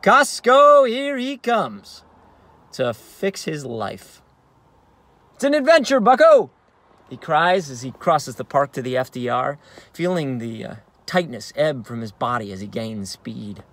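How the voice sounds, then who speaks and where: A man's voice: on the high side, big pitch swings, unhurried, fairly bright tone, exaggerated voice. A man in his thirties, an actor playing a frazzled, wry stay-at-home dad in a dramatic monologue recorded inside a car. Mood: eager, scheming. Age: 30s. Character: frazzled, self-deluding young stay-at-home dad, cursing and scheming. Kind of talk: dramatic staged reading, third-person monologue. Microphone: phone or camera at arm's length.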